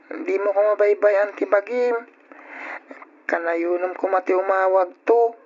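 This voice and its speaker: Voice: medium pitch, a little slow, slight background noise, close to an everyday voice. A performer voicing an eager young son who begs his mother for gifts, in an old radio drama. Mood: pleading. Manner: excited, pleading. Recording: muffled old radio recording.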